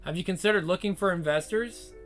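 A man voicing a relaxed young adult man back at an old bar, in his own everyday voice. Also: high-pitched, fast, soft background music. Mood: easy.

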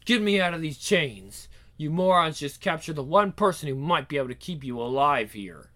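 Man voicing a defiant captive vampire woman, high and bright. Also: expressive, normal speed. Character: captive female vampire, defiant, sardonic, exasperated.

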